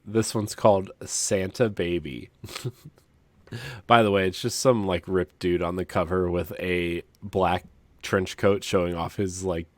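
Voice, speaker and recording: A man with a low, deep voice co-hosts a casual podcast. He reads aloud for comic effect, amused and chuckling as he goes. The recording is dry and close. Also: steady tone, unhurried, no echo. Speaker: podcast co-host.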